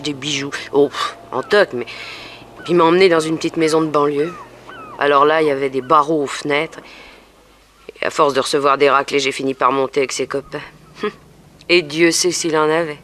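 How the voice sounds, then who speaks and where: An actress playing a young, submissive streetwalker in a 1960s radio drama, resigned and plaintive. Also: female voice, sits low, fairly even intonation, fairly quick, a bit bright. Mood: bitter resignation. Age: young adult. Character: weary, frightened, submissive young streetwalker under a pimp's hold. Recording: faintly noisy old radio recording.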